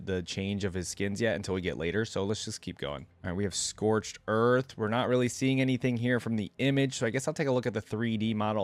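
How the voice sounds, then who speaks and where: A man's voice: medium pitch, no room sound. A man giving casual, informal reaction commentary as the host of a gaming channel, thinking aloud as he goes.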